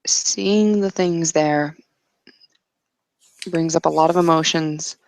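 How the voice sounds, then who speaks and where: A woman's voice: low-pitched, fairly even intonation, slow pace, a little mellow, no echo, low-quality recording. A woman casually narrating an improvised tabletop roleplay as game master, hesitant and thinking aloud as she goes.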